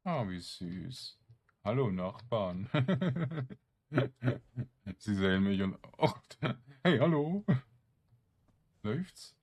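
Slow-talking, low-voiced man gaming. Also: big pitch swings, darkish tone. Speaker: gamer streaming a let's play. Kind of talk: casual gameplay commentary.

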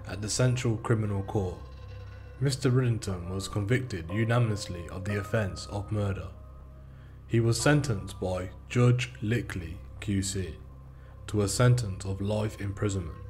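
British male narrator, lowish voice, steady formal true-crime read over a faint music bed. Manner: measured, formal case-report reading. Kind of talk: scripted narration.

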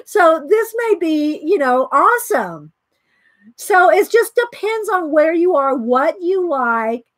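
A chatty woman with a high, bright voice, hosting a live art stream and greeting viewers in chat by name. She reads aloud from a book and giggles often. The sound is close and dry, with no room echo. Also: very animated, slow pace. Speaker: art livestream host.

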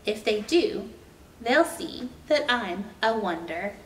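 A young woman reads a picture book to small children in an expressive storytime style. She takes her time with each phrase. The recording is roomy, picked up at a distance from the mic, with faint noise. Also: mid-range voice, takes it slowly. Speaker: library storytime host reading aloud. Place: indoor room with painted mural.

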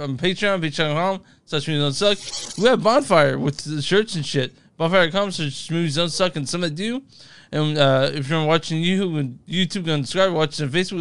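A man's voice: a little high, fairly bright tone, clear, resonant tone. A man co-hosting a movie podcast, laid-back and joking as he plugs the show. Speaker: podcast co-host. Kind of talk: casual podcast banter.

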